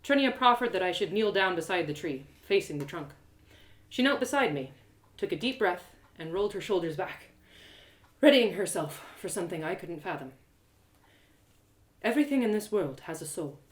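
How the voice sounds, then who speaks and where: A woman reads a dramatic first-person story with deliberate expression. Her pitch moves in a lively way, and the room echoes around her.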